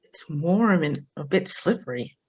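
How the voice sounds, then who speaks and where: A breezy, bantering woman friend played by a woman in a drama table read. She speaks a little lower than most women. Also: lively intonation, measured pace, a little mellow, husky, exaggerated voice. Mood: curious.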